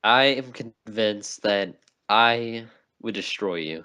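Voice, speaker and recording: A young man with a somewhat low voice argues his point on a casual video game podcast. He talks insistently and keeps cutting in with "listen," heard over a remote call mic. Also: slow, pushed and bright. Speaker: podcast co-host. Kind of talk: casual chat.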